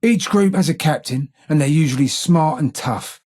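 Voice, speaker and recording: An actor playing a gruff, streetwise London gang tough in a video game, with a rough gravelly British working-class accent and blunt, slangy, taunting delivery. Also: male voice, fairly high pitch, normal speed, a little mellow. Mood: gruff, confiding. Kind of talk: video game character voice lines.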